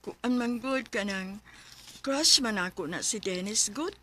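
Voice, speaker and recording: A woman with a Filipino accent playing a shy, hesitant high-school student with a secret crush in a radio drama. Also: expressive, slow pace, fairly bright tone. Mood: shy, hopeful. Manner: hesitant, coy. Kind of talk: Philippine radio drama acting.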